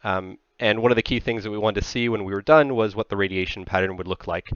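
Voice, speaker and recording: Technical presenter, American male, 30s, measured explainer delivery, dry close headset sound with slightly muffled top end. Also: fairly low pitch, clean tone, no room sound.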